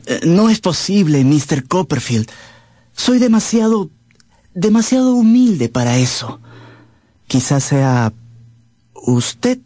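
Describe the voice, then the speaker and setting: A man's voice: a little high, sing-song, takes it slowly, long pauses, warm tone, no echo, exaggerated voice. An actor playing a falsely humble young male law clerk in a radio drama, hesitant, self-deprecating and obsequious. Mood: servile, self-effacing.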